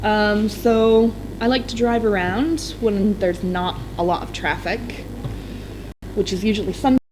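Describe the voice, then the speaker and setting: A young woman, an English teacher, chatting casually on a live stream with quick laughs and frequent ums. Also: medium pitch, background noise. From United States.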